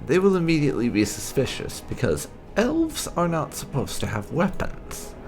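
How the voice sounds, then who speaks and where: A man's voice: fairly high pitch, wildly swooping pitch, normal speed, music in the background. A man giving casual commentary on his playthrough as a gaming channel host.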